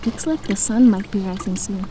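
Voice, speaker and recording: A woman playing a weary, uneasy young traveller with a hushed, plaintive manner in an audio drama. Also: mid-range voice, normal speed, a little hiss or hum, natural voice. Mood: plain.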